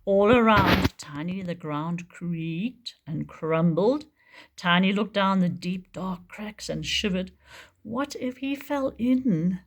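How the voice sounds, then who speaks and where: Woman reading a picture book slowly. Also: on the low side. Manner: slow, expressive storytelling. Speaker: preschool teacher. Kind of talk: picture-book read-aloud.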